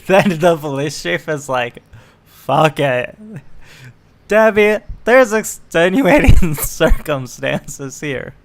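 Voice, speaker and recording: A man's voice: a little high, lively intonation, takes it slowly, vocal fry. A man giving casual, opinionated reaction commentary in a mocking, sweary way. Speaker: online political commentator.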